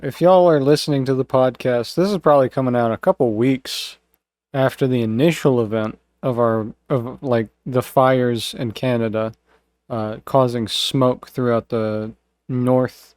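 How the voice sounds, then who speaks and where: A man's voice: mid-range voice, darkish tone, no room sound. A man hosting a casual podcast, congested, rambling with lots of fillers and laughs. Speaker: podcast host. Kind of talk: casual chat.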